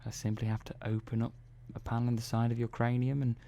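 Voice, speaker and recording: A man playing a calm, methodical robot engineer in an ASMR roleplay, speaking softly and slowly with hesitant pauses. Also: medium pitch, vocal fry, slight background noise, natural voice. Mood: reassuring. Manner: soft-spoken, slow and hesitant, many pauses and "well"s.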